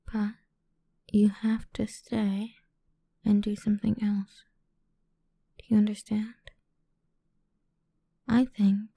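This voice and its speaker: A woman playing a cruel, condescending female boss in an ASMR roleplay, speaking deliberately and often slipping into a whisper close to the microphone. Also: mid-range voice, mellow, no echo, full character voice. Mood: coaxing, controlling. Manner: condescending, deliberate, drawn-out delivery with breathy whispers. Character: cruel, domineering female boss toying with an employee. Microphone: close microphone, dry.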